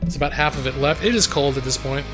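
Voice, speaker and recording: A slightly hoarse man streaming a game live, chatting casually and ramblingly to his viewers. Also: mid-range voice, fast, music in the background. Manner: rambling, conversational, addresses chat directly. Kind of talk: casual chat with viewers while gaming. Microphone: close microphone on a boom arm.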